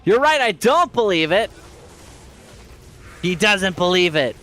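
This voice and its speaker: A man streaming a game who chats casually and jokes at his own expense with viewers, hoarse from losing his voice. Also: sits high, sing-song, measured pace, pushed and bright. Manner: rambling, self-mocking, joking with viewers. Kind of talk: casual chat while playing.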